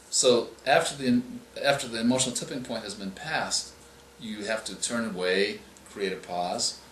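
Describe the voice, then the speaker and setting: A male author answering interview questions, explaining in a measured way and often restarting his phrases. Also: on the low side, fairly bright tone, slight background noise. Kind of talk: interview answers on writing craft. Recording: echoey room with faint ambience.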